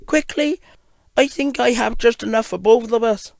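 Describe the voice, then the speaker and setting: Storybook girl engine, done by a man, high-pitched, small, sweet, gentle, earnest, wistful. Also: moderate pace, gravelly, no echo. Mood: urgent. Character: sweet, wistful little female toy steam engine.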